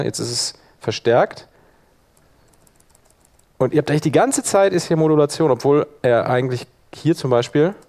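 A man presenting a hands-on software workshop live, informally thinking aloud as he demonstrates each step. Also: mid-range voice.

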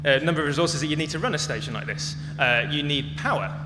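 A man's voice: fairly high pitch, fast. A man speaking as a conference presenter, explaining things in a prepared public talk. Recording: echoey hall with clear hum and noise.

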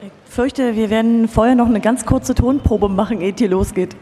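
A woman's voice: fairly high pitch, normal speed, dark tone, a little hiss or hum. A woman making informal live announcements from the stage. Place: large event hall. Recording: echoey hall with long reverb.

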